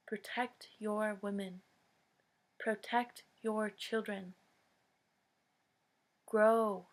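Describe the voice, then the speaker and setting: An American woman giving an earnest public address, speaking solemnly and deliberately in short phrases with long pauses. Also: medium pitch. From United States.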